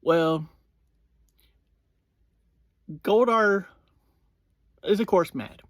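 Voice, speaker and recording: A man hosts an informal recap in a fairly high-pitched voice. He talks very slowly and haltingly, breaking his sentences into short pieces and restarting with "well". The sound is dry, with hardly any room on it. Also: dry recording. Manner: halting, starting many phrases with "well". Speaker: host of a review series. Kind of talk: casual episode review, informal recap.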